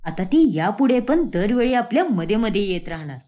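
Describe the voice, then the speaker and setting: A woman voicing a sneaky young husband in a cartoon story, pitching her voice low. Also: big pitch swings, brisk, warm tone, lightly acted. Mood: uneasy. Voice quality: lowered voice.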